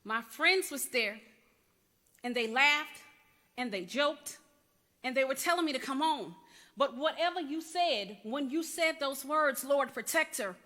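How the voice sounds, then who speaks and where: A woman's voice: high voice, measured pace, pushed and bright, pitched above the performer's own voice. A woman performing a dramatic church monologue as a rebellious young niece confessing to her aunt, in an emphatic, repetitive storytelling cadence. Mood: intense, haunted. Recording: echoey hall with long reverb.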